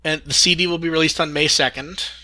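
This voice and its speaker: A man's voice: fairly high pitch, fairly even intonation, quick pace, pushed and bright, low-quality recording. A man hosting a podcast, going through news in a casual discussion style. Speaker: podcast host.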